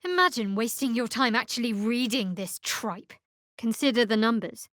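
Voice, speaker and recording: A woman's voice: on the high side, normal speed, fairly bright tone, dry recording, close to an everyday voice. A woman playing a guarded, sardonic young female cleric in a video game, with a dry, aloof, clipped delivery. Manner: dry, aloof, clipped sarcasm with earnest undertones. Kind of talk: video game character voice acting.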